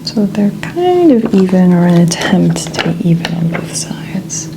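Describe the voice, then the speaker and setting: A woman speaking softly as an ASMR host, often close to a whisper, with gentle, soothing, unhurried instructions. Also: lowish voice, big pitch swings, noisy background. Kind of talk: soft-spoken ASMR, often near whisper.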